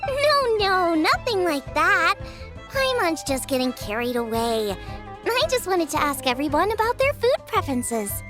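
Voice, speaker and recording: A woman's voice: very high voice, big pitch swings, measured pace, over music, exaggerated voice. A woman playing a cheerful, eager teenage girl cook in a video game cutscene, perky, friendly and earnest. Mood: reassuring.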